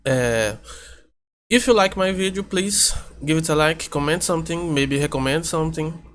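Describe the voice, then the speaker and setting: A man's voice: a little high, fairly bright tone, a little hiss or hum. A man, a vtuber talking casually to his stream, thinking aloud with many restarts. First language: Portuguese. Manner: halting, self-correcting, thinking aloud. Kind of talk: casual reaction stream chat. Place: home room with air conditioner hum.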